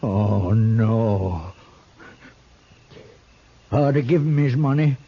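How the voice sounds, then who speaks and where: An actor playing a rueful, gullible frontier man, folksy and halting, in a 1950s radio western. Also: fairly low pitch, lively intonation, husky, full character voice. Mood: bewildered. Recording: dull vintage radio recording.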